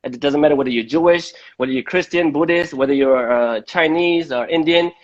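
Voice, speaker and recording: A man's voice: fairly high pitch, brisk. A man from Malaysia hosting a casual live-stream interview, often repeating words before he goes on. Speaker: host of a live-stream debate. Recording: dull lo-fi live-stream audio.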